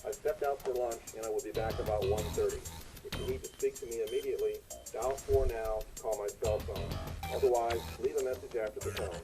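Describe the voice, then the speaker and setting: A man's voice: mid-range voice, fairly even intonation, fairly quick, over music. A man reading a scripted office voicemail greeting in a polite, businesslike way.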